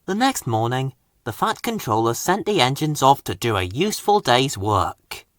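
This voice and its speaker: A man's voice: medium pitch, sing-song, moderate pace, a bit bright, no echo, studio quality. A man reading a children's story aloud as a scripted narration. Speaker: storybook reader.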